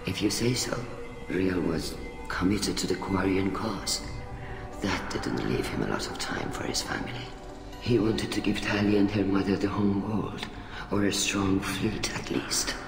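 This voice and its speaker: An actor playing a weary, fatherly older alien admiral in a video game, speaking in a measured, formal way. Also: low-pitched, vocal fry. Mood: earnest. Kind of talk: video game dialogue acting. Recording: echoey hall reverb, music behind.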